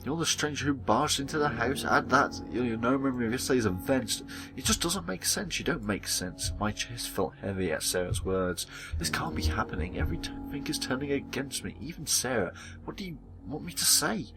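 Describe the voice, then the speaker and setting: A man's voice: medium pitch, expressive, a little fast, music in the background. A man hosting a gaming video, reading visual novel dialogue aloud dramatically and acting out the characters, with casual commentary.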